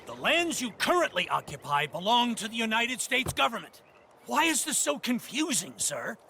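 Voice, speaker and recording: An actor playing a pompous, outraged older American army colonel in a video game, speaking in an imperious, indignant way. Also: male voice, very high voice, very animated, moderate pace, creaky, pushed and bright, a little hiss or hum, exaggerated voice. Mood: stern, condescending. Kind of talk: dramatic video game cutscene acting.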